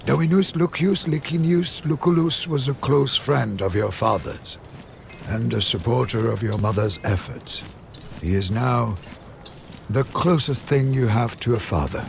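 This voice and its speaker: An actor playing a wise, wry and devoted servant of a Roman noble house, measured and courteous, in a game. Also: male voice, medium pitch, gravelly, a little hiss or hum. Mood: advising. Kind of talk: scripted game dialogue.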